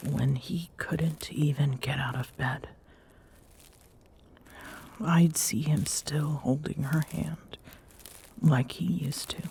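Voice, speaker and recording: A woman playing a tender, wistful but guarded Amazonian queen in an intimate ASMR roleplay, speaking softly and pensively, often close to a breathy whisper. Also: deep voice, slight background noise, exaggerated voice. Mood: grieving, tender.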